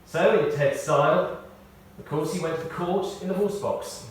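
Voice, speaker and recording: A man's voice: a little high, moderate pace. British man giving a tribute, very echoey. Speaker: eulogist reading a tribute. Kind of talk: scripted memorial tribute with humorous anecdotes. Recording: very echoey, distant mic, faint noise.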